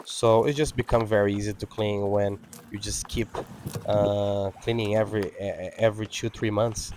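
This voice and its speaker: A man whose first language is Portuguese, speaking English with an accent as he talks over his window cleaning video, halting and full of fillers. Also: on the low side, fairly even intonation, noisy background. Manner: halting, many fillers and restarts. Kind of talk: casual talk-over.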